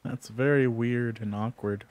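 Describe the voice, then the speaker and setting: A man's voice: medium pitch, fairly even intonation, measured pace, a little mellow. A man chatting on a game stream, joking a lot and laughing at himself. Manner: joking, laughs often, self-deprecating. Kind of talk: casual gaming chat.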